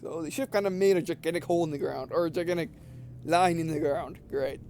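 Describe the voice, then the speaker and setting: Male let's player, high-pitched voice, reads story text and chats casually, trips over words, faint hum behind. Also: quick pace, a little mellow. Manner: stumbles over words while reading aloud. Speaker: video game let's player. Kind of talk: reading visual novel text aloud with casual commentary.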